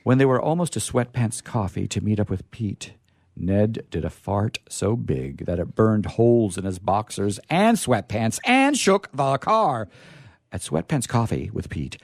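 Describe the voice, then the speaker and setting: Male comic storyteller and host, very lively pitch, close dry sound, playful ad-libbing. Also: measured pace, vocal fry, dry recording. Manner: playful, exaggerated delivery with ad-libbed asides. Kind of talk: live comic story reading with sign-off.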